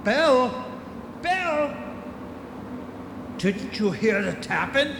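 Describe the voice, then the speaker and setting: An actor playing an anxious, stubborn older lighthouse keeper in a radio drama, agitated and halting when rattled. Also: male voice, extremely high pitch, very animated, exaggerated voice. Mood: insistent, unsettled. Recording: echoey, noisy cassette of radio drama.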